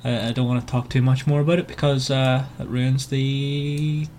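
A man co-hosting a casual book club podcast, speaking in a hesitant, halting way. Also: mid-range voice, fairly even intonation, darkish tone, a little hiss or hum. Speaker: podcast co-host.

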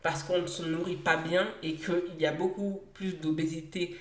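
A woman's voice: fairly low pitch, fairly even intonation, moderate pace. Earnest woman hosting a podcast, echoey. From France. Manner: earnest, emphatic, builds points in short phrases with some hesitations. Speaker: podcast host. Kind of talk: solo podcast talk.

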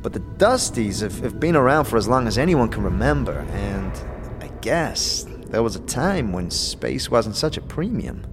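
Irreverent fantasy sidekick, played by a man: chatty, nervy, colloquial, mid-pitched, music underneath. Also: sing-song, moderate pace. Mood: explanatory. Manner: colloquial, slangy, hesitant. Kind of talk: audio drama acting.

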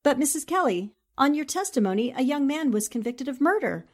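An actress as a shrewd woman reporter in an old-time radio mystery. Her voice is fairly high, and she probes with formal, determined questions. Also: sing-song, brisk. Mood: probing. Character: determined, shrewd newspaperwoman sleuth, cool and probing. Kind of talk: 1940s radio drama acting.

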